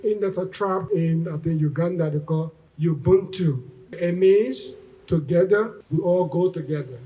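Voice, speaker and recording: A man's voice: sits high, a little slow, dark tone, far from the mic, low-quality recording. A man from Liberia, giving an informal testimonial as an event attendee.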